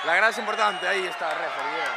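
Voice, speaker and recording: Host, joking slangy match chat, high voice, roaring crowd around. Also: male voice, quick pace, gravelly, very noisy. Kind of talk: casual match chat and interviewing. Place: football stadium stands. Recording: loud crowd and music behind.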